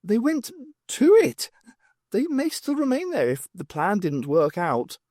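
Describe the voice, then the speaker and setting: A performer voicing an earnest, wistful male alien with a high-pitched voice and a literal, emphatic manner in an audiobook. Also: wildly swooping pitch, a little slow, dark tone, dry recording, clean studio sound. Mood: earnest, insistent.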